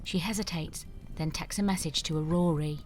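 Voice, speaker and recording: Low-pitched woman narrating a screenplay. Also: steady tone, moderate pace, a little hiss or hum, poor audio. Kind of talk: scripted narration of stage directions.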